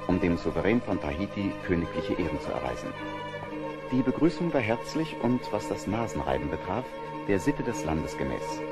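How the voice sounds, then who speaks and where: A man reading scripted narration for an audio drama. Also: sits low.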